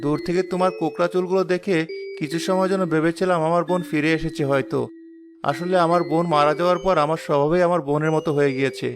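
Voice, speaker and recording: A man voicing a kind, patient young village fisher boy in a cartoon, with a gentle, reassuring manner. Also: on the high side, fairly even intonation, fast, over music, natural voice. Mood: reminiscing. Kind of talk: animated cartoon dialogue.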